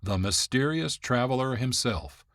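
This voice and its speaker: A man who is the producer and host of a radio program, giving measured, informative narration from a script. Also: medium pitch, lively intonation, a bit bright, no room sound, studio quality.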